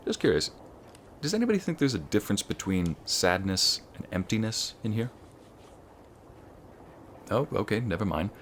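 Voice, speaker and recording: A man with an American accent playing an encouraging, scholarly wizard school professor in an ASMR roleplay, lecturing students in a measured, formal way. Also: low-pitched, fairly bright tone, slight background noise. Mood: reflective, reassuring. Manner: measured, formal, teacherly, addressing students directly.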